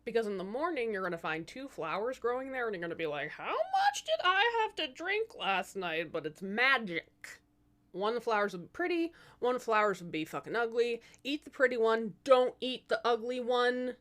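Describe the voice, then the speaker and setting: A woman acts out a tipsy beggar woman from a comic fairy tale. She rambles bluntly in sassy, sweary slang, with pitch that jumps around a lot. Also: sing-song, moderate pace, fairly bright tone. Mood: rambling, advising. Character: tipsy, blunt, foul-mouthed beggar woman giving magical advice.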